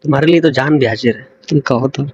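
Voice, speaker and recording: A man's voice: mid-range voice, quick pace, a little mellow, a little hiss or hum. A young man chatting casually and intimately on a phone call in a coaxing, teasing way. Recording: dull lo-fi phone call recording.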